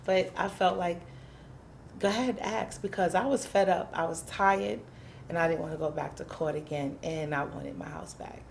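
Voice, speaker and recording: A woman's voice: lowish voice, moderate pace, gravelly, a little hiss or hum. A woman, a community housing activist, telling her story candidly in an interview, emphatic and plain-spoken, acting out what people said.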